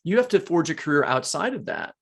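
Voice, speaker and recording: A man with a creaky voice, asking and musing casually as a podcast co-host. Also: a little high, sing-song, a little fast. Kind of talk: casual conversational interview.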